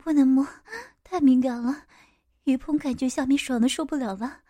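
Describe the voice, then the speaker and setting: A woman's voice: sits high, steady tone, moderate pace, warm tone, no echo. A woman voicing an overwrought middle-aged woman in an audiobook, in breathless, broken phrases.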